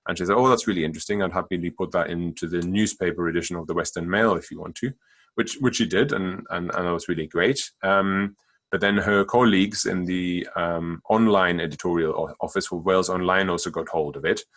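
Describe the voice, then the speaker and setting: Middle-aged German-accented doctor, very low pitch, giving a halting, stop-start talk. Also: male voice, steady tone, fairly bright tone. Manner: halting, many restarts and repeated words. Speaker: palliative care doctor. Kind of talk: conference talk with slides.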